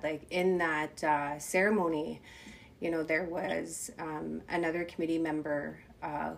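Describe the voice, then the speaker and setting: A woman's voice: sits low, darkish tone. A woman co-hosting a podcast, speaking with a stuffed-up voice from a cold, thankful and reflective in casual conversation. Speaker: podcast co-host.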